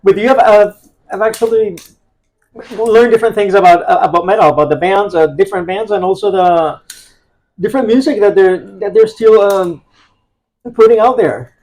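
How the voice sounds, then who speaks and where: A man's voice: high-pitched, normal speed, darkish tone, low-quality recording. A man in his forties from Puerto Rico, a podcast guest chatting casually, with lots of fillers and restarts. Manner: hesitant, many fillers and restarts. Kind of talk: casual conversation.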